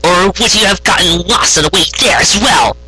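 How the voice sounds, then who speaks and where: A man's voice: high-pitched, expressive, moderate pace, pushed and bright, husky, no room sound, voice pushed up, full character voice, rough recording. A man voicing a haughty, impatient young female unicorn magician in a story, with dry, accusing delivery. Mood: sarcastic, irritated.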